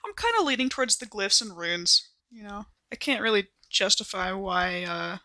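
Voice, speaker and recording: A woman's voice: medium pitch, lively intonation, normal speed, bright, forceful tone, no room sound, clean studio sound. An American woman playing a tabletop game, talking casually and thinking aloud, reworking her ideas mid-sentence. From United States. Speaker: player in an actual-play podcast. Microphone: close studio microphone.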